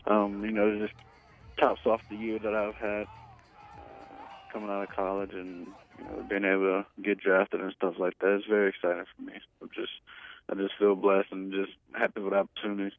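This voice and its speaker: Over a narrow, tinny telephone line, a man in his twenties talks in a deep, low voice. He is an athlete being interviewed, speaking casually with hesitant phrasing and frequent pauses. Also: fairly even intonation, creaky. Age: 20s. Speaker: pro football player being interviewed. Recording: tinny, band-limited phone line.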